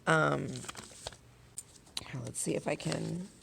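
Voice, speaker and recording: Hoarse, gravelly-voiced woman teacher. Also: low voice, steady tone, takes it slowly, noisy background. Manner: chatty, filler-heavy explaining. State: hoarse, losing her voice. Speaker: elementary school teacher. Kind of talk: casual teacher vlog. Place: classroom.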